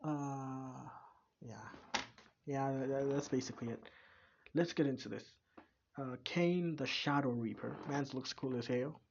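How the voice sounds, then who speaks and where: A man's voice: medium pitch, creaky, highs cut off, a little hiss or hum. A young man, casually chatting and rambling with many restarts as a reaction video host. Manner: rambling, halting, full of fillers and restarts. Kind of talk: casual chat.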